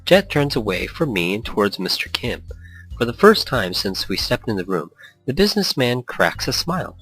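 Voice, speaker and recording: A man's voice: medium pitch, very animated, moderate pace, a bit bright, slightly rough, poor audio. A man hosting a let's play, reading a visual novel aloud with playful, goofy asides. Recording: faint music behind the voice. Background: faint background music.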